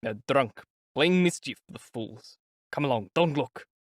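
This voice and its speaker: A man's voice: medium pitch, expressive, a little slow, dry recording. A man as a weary, urging father. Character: weary father hurrying his son away.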